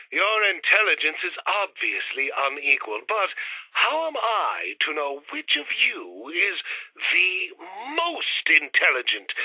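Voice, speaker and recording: An actor playing a pompous, scheming would-be space emperor in a theatrical retro sci-fi radio play. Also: male voice, a little high, pushed and bright, husky, dry recording, exaggerated voice, poor audio. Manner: pompous, theatrical, alliterative insults.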